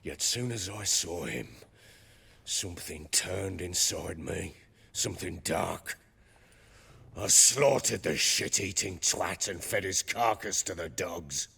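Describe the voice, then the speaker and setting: An actor playing a gruff, bitter, hot-tempered middle-aged baron and soldier in a video game, coarse and blustering in his talk. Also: deep voice, takes it slowly, sharp tone, full character voice. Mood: dark, regretful. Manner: coarse, blustering, profane storytelling. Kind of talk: dramatic video game acting.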